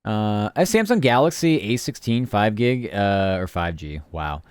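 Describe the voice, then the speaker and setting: A man's voice: on the low side, animated, a little slow, darkish tone, smooth, dry recording, clean studio sound. A man streaming live, chatting casually and rambling from topic to topic while answering his chat.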